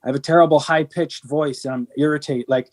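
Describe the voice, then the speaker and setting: A man's voice: fairly high pitch, fairly even intonation, a little fast, a little mellow. Earnest rambling man in interview. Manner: earnest, rambling with restarts and fillers. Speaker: band singer. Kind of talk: candid interview conversation.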